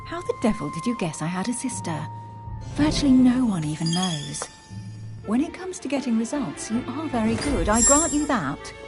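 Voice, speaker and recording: A woman's voice: mid-range voice, lightly acted. An actress playing a proud, guarded young woman agent in a dramatic video game, speaking with cutting, defiant self-assurance. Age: young adult. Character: guarded, proud, sharp-witted young female secret agent.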